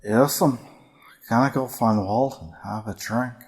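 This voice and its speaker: A man's voice: medium pitch, close to an everyday voice. A man playing a dazed young man under a spell, speaking dreamily in an audio horror drama. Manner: slow, dreamy, drawn-out.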